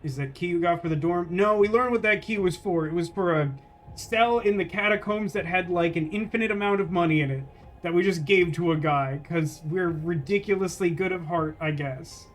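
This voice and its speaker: A man's voice: sits high, moderate pace, soft background music. A man streaming a game with casual, wry commentary, rambling as he thinks aloud.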